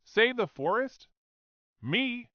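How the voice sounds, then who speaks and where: A man acts out an adventurous seven-year-old boy for a children's story. He sounds earnest and wide-eyed, pitched far higher than an adult male, with animated swings and a slightly dull recording. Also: takes it slowly, dry recording, exaggerated voice. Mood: surprised, doubtful.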